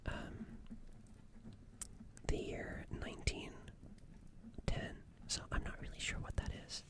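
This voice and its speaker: A performer voicing a gentle, apologetic alien medic in a whispered ASMR roleplay, hesitant and halting, softly repeating phrases. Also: lightly acted. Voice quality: breathy whisper.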